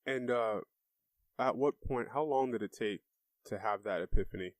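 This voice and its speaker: A man's voice: fairly low pitch, moderate pace, no room sound. A man casually interviewing a guest as a podcast host, with short acknowledgements and questions.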